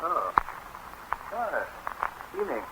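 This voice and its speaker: An actor playing a stiff, polite husband making hesitant, formal small talk in a 1930s radio drama. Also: male voice, a little high, wildly swooping pitch, takes it slowly, heavily acted. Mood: awkwardly polite. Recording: muffled 1930s radio broadcast, faint noise.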